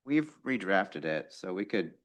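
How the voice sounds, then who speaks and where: Man, elections staff, very deep voice, careful and halting delivery at a board meeting. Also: very animated. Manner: measured, hesitant with fillers. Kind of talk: formal public meeting remarks.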